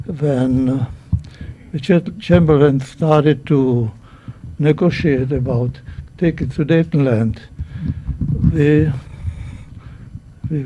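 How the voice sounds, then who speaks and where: A man in his nineties, a native German speaker, nervously giving personal testimony at a microphone in halting English. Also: medium pitch, warm tone, slight background noise, rough recording. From Czechoslovakia. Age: 90s. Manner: halting, searching for words, many restarts. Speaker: guest speaker at a public event.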